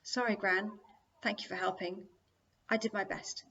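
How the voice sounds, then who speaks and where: A woman's voice: medium pitch, steady tone, normal speed, a bit bright, highs cut off, a little hiss or hum, close to an everyday voice. Woman playing an earnest, hesitant young boy. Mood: sheepish, sorry. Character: earnest, frightened, apologetic young boy hero. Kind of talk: audiobook character dialogue.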